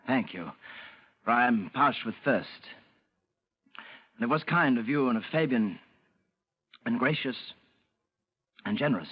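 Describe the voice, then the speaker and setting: An actor playing a formal, reserved English gambler who tells his eerie tale in measured, literary vintage radio style. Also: medium pitch, lively intonation, breathy edge. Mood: courteous. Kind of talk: vintage radio horror acting and narration. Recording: muffled old radio recording.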